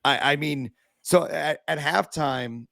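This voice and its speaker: A man on a sports podcast, hoarse and raspy from being sick, talking bluntly and with self-deprecating humor. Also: on the high side, slow, fairly bright tone, dry recording, clean studio sound. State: hoarse from illness. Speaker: podcast co-host. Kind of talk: casual sports podcast talk.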